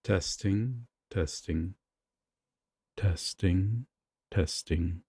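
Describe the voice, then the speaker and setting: Guy chatting off script at the mic, swears a bit, husky lowish voice, very dry sound. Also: drawn-out words, mellow, dry recording. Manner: profane, self-amused. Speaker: amateur voice actor recording audio roleplay. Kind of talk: casual off-script chat between takes.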